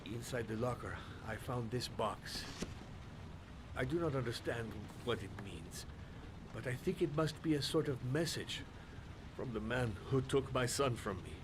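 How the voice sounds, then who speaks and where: A man's voice: medium pitch, a little slow, close to an everyday voice. An actor playing a dignified, stubborn, grieving male shopkeeper in a video game, speaking in formal, courteous, stilted phrases. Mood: grateful, sorrowful. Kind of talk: dramatic video game acting. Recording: clear background noise and ambience.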